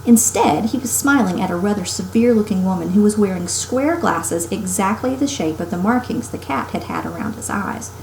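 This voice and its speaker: A woman's voice: medium pitch, animated, a little fast, gravelly, few pauses, a little mellow. A woman teacher reading a story aloud to children expressively and emphatically, with casual asides. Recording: roomy echo, faint background noise.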